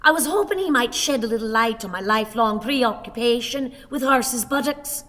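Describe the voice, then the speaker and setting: Radio drama: plaintive elderly Irishwoman, theatrical and wordy, raised pitch, reverberant hall sound. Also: lively intonation, normal speed, fairly bright tone. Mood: earnest, wistful. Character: frail, plaintive, melodramatic old Irish wife. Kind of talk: theatrical radio play acting.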